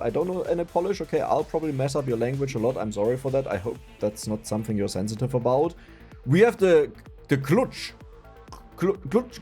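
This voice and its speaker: A man's voice: medium pitch, dark tone, breathy edge. A young man from Germany with a German accent, chatting casually and laughing at himself while commenting on a video game. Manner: chatty, self-mocking, laughs often. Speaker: gaming YouTuber.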